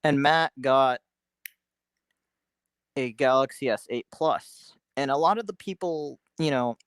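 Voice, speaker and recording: A man in the middle of the usual pitch range, talking casually on a livestream. He is chatty and opinionated and rambles through his points with restarts. The sound is dry, with no room echo. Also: clean tone. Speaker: livestream host. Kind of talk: casual chat.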